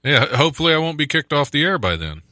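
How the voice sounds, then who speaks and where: A male radio host with a very bright, tense-edged voice that swings up and down a lot. He chats casually and laughs often, poking fun at himself. The studio sound is dry and close. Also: a little high, sing-song, quick pace, no room sound. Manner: chatty, laughs often, self-deprecating. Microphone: studio microphone.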